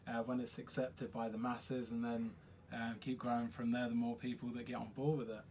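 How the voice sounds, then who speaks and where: A man's voice: mid-range voice, level tone, a little fast, darkish tone. A man hosting a casual interview in an agreeable, encouraging way. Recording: faint background noise.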